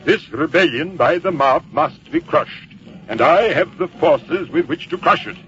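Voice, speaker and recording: Pompous, swooping general. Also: male voice, on the high side, expressive, a little slow, fairly bright tone. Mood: stern, imperious. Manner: pompous, drawling rhetorical hesitations and repetitions. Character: haughty, confident male British general commanding redcoats. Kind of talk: old-time radio drama acting. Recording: muffled vintage radio recording, music behind.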